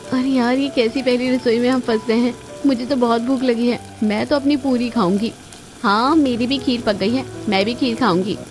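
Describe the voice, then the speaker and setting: A woman's voice: fairly high pitch, steady tone, moderate pace, darkish tone, lightly acted. A woman voicing a naive, greedy young bride in a family story, guileless and whiny. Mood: hungry, whiny. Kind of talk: dramatized family story voice acting.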